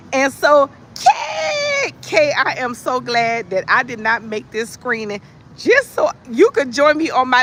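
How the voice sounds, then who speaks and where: An American livestream host chatting casually and telling comic stories in an animated, sassy, emphatic way, with conversational asides. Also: high-pitched, slight background noise. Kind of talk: casual chat with comic storytelling.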